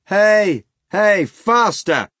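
A man voicing a brash young woman, a maid turned witch, shrill and gleeful. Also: animated, drawn-out words, pushed and bright, no room sound, studio quality, exaggerated voice. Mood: triumphant.

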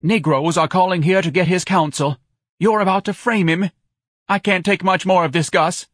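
Audiobook role: man playing overwrought, self-pitying wife, raised high voice, theatrical wail. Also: fairly even intonation, moderate pace, a bit bright, no echo, clean studio sound. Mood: moaning, indignant. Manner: shrill, sobbing, melodramatic wailing. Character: hysterical, self-pitying, overdramatic middle-aged wife. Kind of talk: audiobook character voice.

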